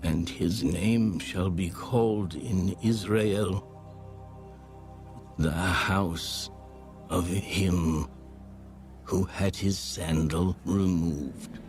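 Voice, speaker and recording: Low voiced guy reading the bible real slow and solemn, music playing behind him. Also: fairly even intonation, a little mellow. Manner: solemn, deliberate, measured phrasing. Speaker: audio Bible narrator. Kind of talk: scripted scripture narration. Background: background music.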